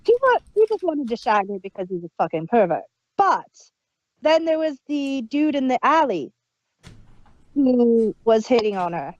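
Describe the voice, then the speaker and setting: A woman's voice: fairly high pitch, sing-song, normal speed, darkish tone, no room sound. A woman casually chatting as a podcast co-host, laughing often and trailing off mid-sentence, on a dull, muffled recording. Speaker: podcast co-host reviewing an audio drama. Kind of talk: casual chat.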